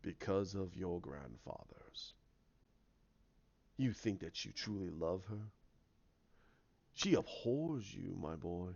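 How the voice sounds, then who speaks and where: A man voicing a sinister old Sith emperor from a space saga, gloating and menacing in slow, drawn-out phrases. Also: deep voice, vocal fry, a little mellow, dull audio, pitched below the performer's own voice, heavily acted.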